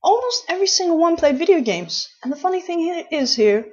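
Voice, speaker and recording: A woman's voice: extremely high pitch, very animated, fairly quick, a little mellow. A Dutch woman in her late twenties with a Dutch accent, talking to camera in a chatty, rambling way with many restarts. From Netherlands. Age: late 20s. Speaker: vlogger. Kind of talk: casual talk to camera. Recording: dull lo-fi recording.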